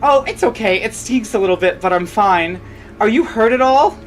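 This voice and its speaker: A woman's voice: on the high side, expressive, moderate pace, faint music behind, lightly acted, rough recording. A woman voicing a young female monster trainer in a storybook reading, exclaiming and swearing, frightened and sarcastic. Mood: gentle.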